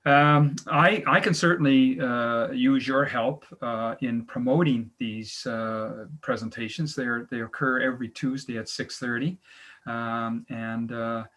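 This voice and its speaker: Man, middle-aged, from Canada, webinar host, halting delivery, lots of fillers, video call. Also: medium pitch, steady tone, a bit bright. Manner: halting, many fillers and restarts. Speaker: travel agency owner and webinar host. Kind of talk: online presentation, casual.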